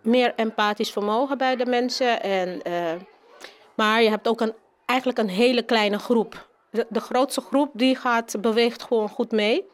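A woman's voice: on the high side, measured pace. A woman, a teacher, giving spontaneous interview answers with hesitant, repeated phrasing.

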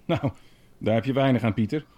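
An actor playing a self-assured, chatty prince in a Dutch historical audio drama, familiar and dismissive in manner. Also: male voice, mid-range voice, a little fast, warm tone, close to an everyday voice. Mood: dismissive, confiding.

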